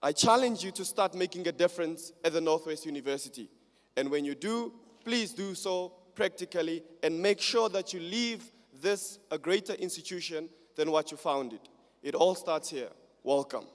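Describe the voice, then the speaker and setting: A man speaking for the student council, giving a formal, measured welcome speech. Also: on the high side. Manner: formal, measured, read from notes. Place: large hall. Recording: long hall reverb.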